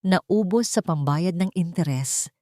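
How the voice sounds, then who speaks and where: A woman's voice: on the low side, animated, slow pace, mellow, no echo, clean studio sound. Woman voicing humbled, fawning Filipino ex-husband. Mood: doubtful.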